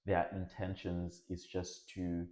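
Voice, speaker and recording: Very low-voiced man, hesitant podcast co-host, echoey room. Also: completely flat delivery, slow pace, a little mellow. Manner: thoughtful, hesitant with frequent fillers. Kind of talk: casual conversation.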